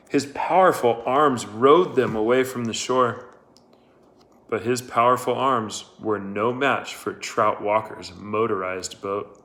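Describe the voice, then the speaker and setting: A man reading a story aloud as a scripted narration, measured and deliberate. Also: mid-range voice, fairly bright tone. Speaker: audiobook reader.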